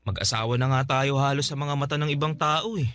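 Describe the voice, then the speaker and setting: A man's voice: medium pitch, steady tone, fairly quick, fairly bright tone, clean tone, no room sound, lightly acted. A man playing a devoted, joking young boyfriend in a radio drama. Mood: affectionate. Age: young adult. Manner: joking, reassuring. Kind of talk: Tagalog radio drama acting.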